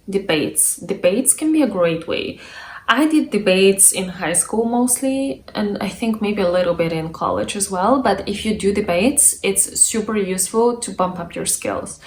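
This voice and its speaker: A young adult woman, a native Bulgarian speaker, giving an articulate instructional talk to camera as a language coach. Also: medium pitch. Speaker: language coach and video presenter. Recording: echoey room with faint background noise.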